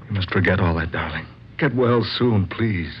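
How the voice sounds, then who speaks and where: A low-voiced husband played by a male actor in an old radio drama. He is earnest and melodramatic, devoted on the surface and scheming underneath. The recording is dull with a faint noise. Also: normal speed, close to an everyday voice. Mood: tender. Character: scheming husband Harvey, outwardly devoted, turning anxious.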